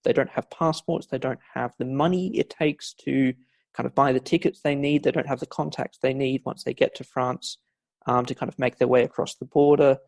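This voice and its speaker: Gravelly-voiced male historian explaining. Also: medium pitch, fairly even intonation, normal speed, darkish tone, no echo. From not from Scotland, Scottish family. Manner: many fillers and restarts, explanatory. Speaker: historian guest. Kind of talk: conversational academic interview.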